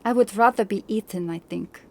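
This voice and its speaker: A woman's voice: mid-range voice, fairly quick, dark tone, lightly acted. A woman voicing a practical, sceptical, short-tempered Viking warrior woman in an audiobook. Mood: dry, doubtful. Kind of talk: audiobook character acting.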